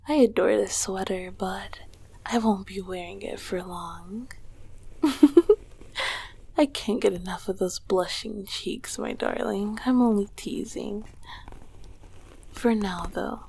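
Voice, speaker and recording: A woman voicing an ancient succubus queen in an intimate ASMR roleplay, tender, regal and teasing, with a breathy, husky, often whispering voice. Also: medium pitch, full character voice. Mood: flirtatious, teasing, then peaceful, loving. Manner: slow, affectionate, softly teasing.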